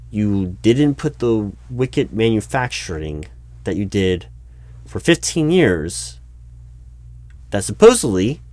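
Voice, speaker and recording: A man playing a baffled, exasperated recruiting manager in a one-sided ASMR job interview roleplay, speaking aloud with halting, repeated words. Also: on the low side, darkish tone, no room sound. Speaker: roleplay performer.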